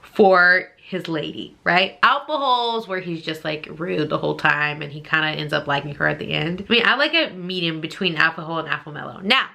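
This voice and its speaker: A woman's voice: deep voice, a little fast, gravelly, few pauses, bright, forceful tone. A woman talking casually to viewers as a book reviewer, in an informal, rambling way with emphatic repetition. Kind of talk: casual chatty opinion monologue to viewers.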